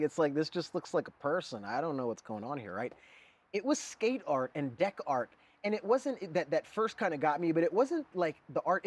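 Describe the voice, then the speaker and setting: A man in his forties who grew up in Germany, a guest artist talking casually and rambling, with lots of fillers and "dude". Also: on the high side. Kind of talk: casual conversation.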